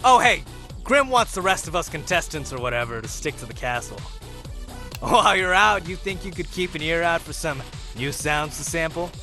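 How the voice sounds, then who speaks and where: Brash flirty incubus, game character, high voice, gravelly edge, cocky, slangy, party-loving. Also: male voice, expressive, moderate pace, pushed and bright, faint music behind. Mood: subdued. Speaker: video game romance character. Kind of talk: scripted game dialogue, slangy.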